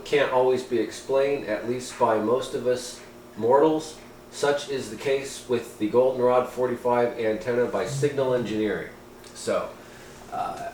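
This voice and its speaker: A man giving a casual, folksy hobbyist product review, sometimes reading aloud in an informal way. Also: mid-range voice. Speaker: amateur radio hobbyist reviewing gear. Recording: roomy echo, steady background noise.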